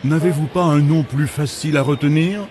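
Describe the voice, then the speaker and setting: A man's voice: on the high side, steady tone, fairly quick, darkish tone, faint music behind, lightly acted. A man voicing an indignant, self-important village mayor in a cartoon, speaking in a formal, pompous manner. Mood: measured, impressed. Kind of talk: cartoon voice acting.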